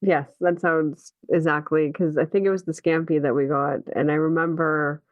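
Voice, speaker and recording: A woman's voice: low-pitched, steady tone, moderate pace, dry recording. A woman hosting a podcast, interviewing in a casual, conversational way. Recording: dull, dry lo-fi audio.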